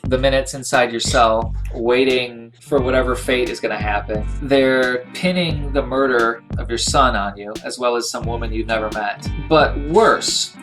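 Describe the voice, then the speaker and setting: A man's voice: medium pitch, normal speed, few pauses, music in the background. A man narrating a tabletop role-playing game as game master in a conversational storytelling way.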